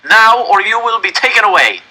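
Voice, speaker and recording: A man's voice: sits high, sing-song, moderate pace, sharp tone, pitched above the performer's own voice, exaggerated voice, rough recording. A man voicing a menacing, demanding military officer who barks threatening commands in an audiobook. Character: menacing, demanding male Nazi German officer. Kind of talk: acted audiobook dialogue.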